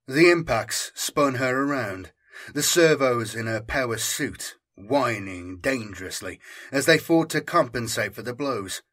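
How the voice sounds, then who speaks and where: Man, audiobook narrator, dramatic, intense, slightly rough and forceful, unhurried. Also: medium pitch. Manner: intense, theatrical.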